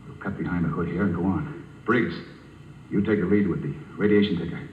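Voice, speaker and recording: An actor playing a curt, impatient, commanding spaceship captain in a 1950s radio drama. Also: male voice, deep voice, fairly quick, lightly acted. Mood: brisk, commanding. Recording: muffled, hissy, echoey 1950 radio broadcast.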